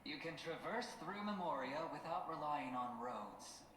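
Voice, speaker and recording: An actor playing a self-assured male gambler-schemer in a video game, speaking in a theatrical, teasing, deliberate storytelling manner. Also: on the high side, animated, noisy background. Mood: smug, teasing. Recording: echoey game audio under music.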